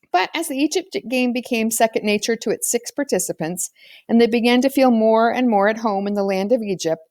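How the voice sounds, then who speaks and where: A woman's voice: mid-range voice, darkish tone, no echo. Woman reading a children's novel, dry sound. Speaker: audiobook reader. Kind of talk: scripted narration of a children's novel, read aloud.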